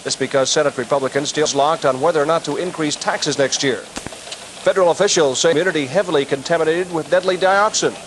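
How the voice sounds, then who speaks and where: A man's voice: a little high, fairly quick. An American man reading television news headlines as the anchor. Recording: slightly noisy 1980s TV broadcast tape.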